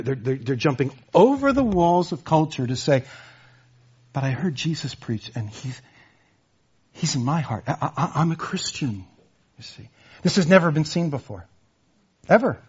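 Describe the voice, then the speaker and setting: Husky preacher man, lo-fi sound. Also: on the high side. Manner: earnest, repeats words and phrases for emphasis. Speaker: pastor. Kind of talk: sermon.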